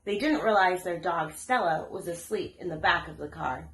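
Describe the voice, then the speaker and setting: A woman reading a children's story aloud as an expressive storyteller. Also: fairly low pitch, moderate pace, a little hiss or hum. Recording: echoey room.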